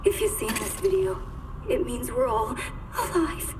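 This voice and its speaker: Flippant game villainess, playful drawl, slow, lively pitch, heavy background noise. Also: female voice. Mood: mock wistful, playful. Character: flippant, theatrical female villain. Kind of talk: video game cutscene acting. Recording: distant, echoey, very noisy recording.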